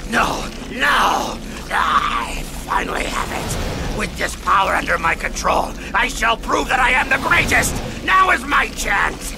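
Arrogant, power-mad villain mage, high-pitched. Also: male voice, animated, measured pace, creaky, full character voice, poor audio. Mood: desperate fury. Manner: theatrical, boastful, cackling and shouting. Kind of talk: dramatic video game acting. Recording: loud music under the voice.

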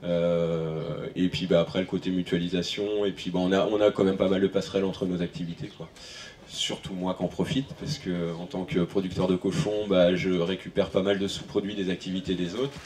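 A man in his thirties speaks on a panel in a large event tent, hesitant and halting, full of fillers and restarts. His voice sits low, with faint ambient noise behind him. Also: level tone, darkish tone. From France (Maine-et-Loire). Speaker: farmer on panel. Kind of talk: panel discussion answers. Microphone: handheld microphone.

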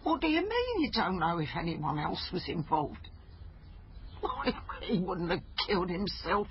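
A woman's voice: lowish voice, big pitch swings, measured pace. An actress playing a grieving, bitter middle-aged mother in a naturalistic radio drama. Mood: distressed, accusing. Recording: dull recording, faint background noise.

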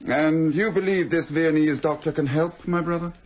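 An actor playing an aloof, clever, corpulent gentleman in a radio drama, speaking with dry superiority. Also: a little high, fairly even intonation, moderate pace, lo-fi sound, lightly acted. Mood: measured, superior.

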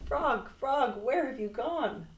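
A woman voicing an excitable, boastful and anxious male toad from a storybook, with dramatic, pleading exclamations. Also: medium pitch, unhurried, vocal fry, voice pushed up. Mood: frantic, pleading. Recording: echoey, roomy sound.

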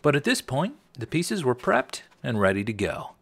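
Lively American man with gravelly fry. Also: mid-range voice, normal speed, very noisy. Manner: wry, tongue-in-cheek. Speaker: host of a woodworking channel. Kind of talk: scripted tutorial narration.

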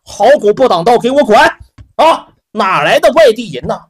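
A man's voice: high-pitched, big pitch swings, moderate pace, slightly rough, voice pushed up, heavily acted. A man voicing an arrogant, thuggish young male hotel manager who barks orders. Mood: contemptuous. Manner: barking, bossy, shouted orders.

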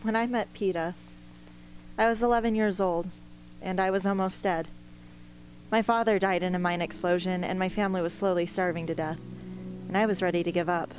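A young woman playing a determined, anguished teenage girl rebel in an amateur radio play, speaking earnestly and with measured care. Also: fairly low pitch, fairly even intonation, darkish tone, a little hiss or hum, lightly acted, low-quality recording. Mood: sombre, resolute. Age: young adult. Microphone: close studio microphone on stand.

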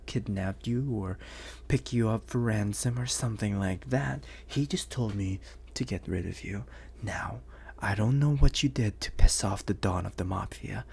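A man voicing a suave, menacing, flirtatious vampire bounty hunter in an ASMR roleplay, teasing in breathy half-whispers with lingering pauses. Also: fairly low pitch, a little mellow, slight background noise. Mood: teasing, sly. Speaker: voice actor.

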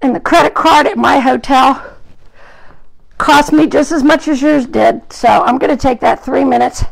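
A hoarse woman groomer with a gravelly voice, chatting to live-stream viewers while trimming a dog in a grooming salon, recorded on a phone. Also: high-pitched, moderate pace, low-quality recording. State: hoarse from an illness. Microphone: phone camera propped at the grooming table.

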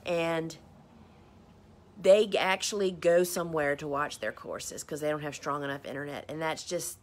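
A woman's voice: sits low, moderate pace. Chatty woman in her fifties. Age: 50s. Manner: chatty, rambling, self-deprecating. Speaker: host. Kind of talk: casual live stream answering viewer questions.

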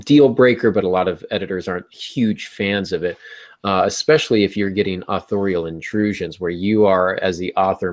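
An American man in middle age with a fairly low voice talks as a podcast host. He explains things in a conversational way, as if on a live video call from a small room at home. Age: middle-aged.

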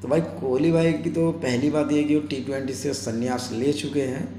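A man's voice: medium pitch, steady tone, moderate pace, dark tone. A man, giving casual, colloquial sports commentary to camera like a vlogger chatting with friends. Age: 20s. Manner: colloquial, chatty, addresses viewers as brothers. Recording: roomy echo, faint background noise.

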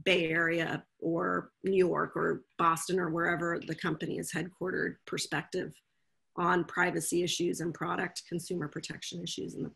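Husky-voiced woman on a video call. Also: on the low side, flat delivery, normal speed, a bit bright. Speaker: guest, legal professional. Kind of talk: casual video-call chat. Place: home room, indoors.

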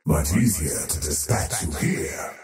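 A man voicing a faceless void creature in a fantasy video game, a cold, arrogant oathbreaker with a grandiose, menacing, deliberate delivery. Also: rumbling low, very animated, roomy sound, recorded from a distance, music in the background, exaggerated voice. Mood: gloating, menacing. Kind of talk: scripted fantasy video game character lines.

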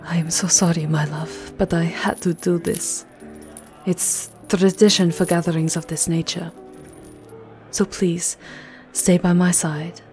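Lady doing a courtly vampire countess asmr, dark mellow voice, slow and intimate. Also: fairly low pitch, level tone, faint music behind, lightly acted. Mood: apologetic, tender. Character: elegant vampire countess, tender yet formidable lover. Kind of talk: ASMR roleplay acting.

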